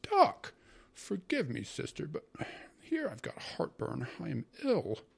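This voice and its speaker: A pompous, self-pitying uncle from a classic storybook. His voice jumps high and loud as he grumbles and complains, dragging out words with wounded, hypochondriac emphasis. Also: huge pitch swings, slow, gravelly, slightly rough, full character voice. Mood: tearful complaining.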